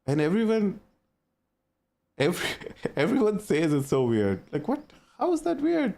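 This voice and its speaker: A man's voice: high voice, huge pitch swings, normal speed, creaky, warm tone. A young man from India with an Indian accent, chatting casually with his live-stream viewers and reacting to their messages.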